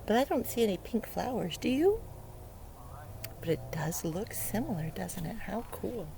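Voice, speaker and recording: A woman's voice: mid-range voice, sing-song, normal speed, vocal fry, noisy background. A woman reading a picture book aloud to young children outdoors, speaking playfully with wonder and asking the listener simple questions. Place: outdoors beside a lake.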